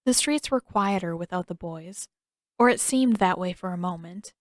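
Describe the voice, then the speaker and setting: A woman's voice: lowish voice, moderate pace, no echo. Woman narrating a story, very dry sound. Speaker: audiobook narrator.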